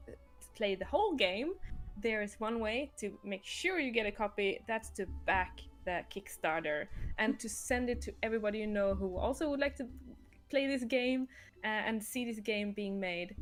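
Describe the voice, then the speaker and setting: A woman hosting a livestream as the game's creator, explaining casually in a hesitant, rambling way. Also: medium pitch. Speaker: livestream host and game creator.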